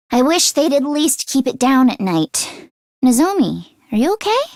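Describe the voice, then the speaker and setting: A woman voice acting an earnest, anxious teenage schoolgirl in an English video game, with expressive, emotionally heightened delivery. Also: high-pitched, a little slow, no room sound, studio quality, exaggerated voice. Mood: concerned.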